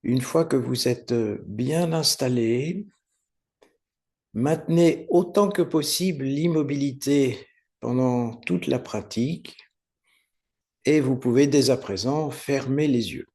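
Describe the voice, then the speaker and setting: A man teaching guided meditation, giving unhurried, soothing step-by-step instructions with pauses. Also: medium pitch, a little mellow. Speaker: yoga nidra meditation teacher.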